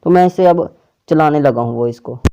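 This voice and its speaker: A man's voice: a little high, moderate pace, warm tone, lo-fi sound. A man hosting a casual tech tutorial, explaining an app step by step to viewers. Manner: step-by-step instructions addressed to viewers.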